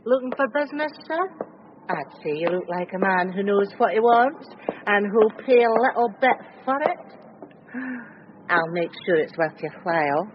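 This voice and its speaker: A woman's voice: mid-range voice, lively intonation, moderate pace, natural voice. Brazen female streetwalker, acted, coaxing delivery, muffled radio-drama recording with light noise. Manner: coaxing, suggestive.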